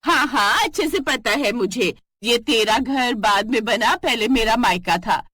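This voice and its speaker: A woman's voice: a little high, animated, measured pace, fairly bright tone, dry recording, clean studio sound. Woman doing a pushy, scheming aunt. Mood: bossy. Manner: bossy and teasing. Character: pushy, scheming middle-aged aunt-in-law guest.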